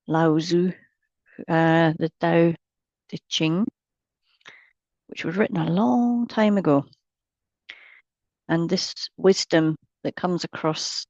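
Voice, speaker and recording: A woman with a slightly low voice teaches mindfulness in a guided meditation. She speaks very slowly and gently, breaking her sentences into short phrases with long pauses. The recording is dry and a little dull. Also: no room sound.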